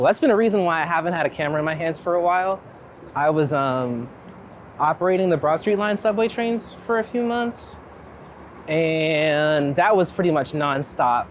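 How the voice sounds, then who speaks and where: An American from Philadelphia chatting casually in a wry, rambling, thoughtful way while out on a walk. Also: medium pitch. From Philadelphia, United States. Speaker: street photographer being interviewed. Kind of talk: casual chat, walking interview. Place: outdoors on city streets. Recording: outdoor city street noise, traffic. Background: street ambience, passing vehicles.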